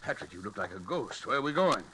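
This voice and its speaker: An actor playing a scientist's anxious, loyal colleague, earnest and questioning, in 1940s radio style. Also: male voice, on the low side, big pitch swings, quick pace, pushed and bright. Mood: worried. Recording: very noisy old radio recording.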